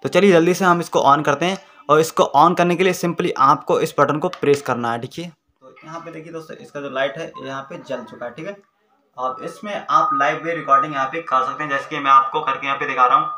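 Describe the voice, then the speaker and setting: A man's voice: on the high side, fairly even intonation, a little fast, bright, forceful tone. A man, explaining a product step by step in a casual, repetitive way. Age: 20s. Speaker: YouTube product reviewer. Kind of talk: casual unboxing demonstration. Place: small plain-walled room indoors.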